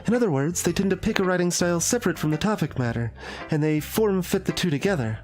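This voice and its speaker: A man voicing a shy, soft-spoken bookish teenage girl from a visual novel, hesitant and apologetic. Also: high-pitched, animated, fast talker, faint music behind. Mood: earnest, hesitant.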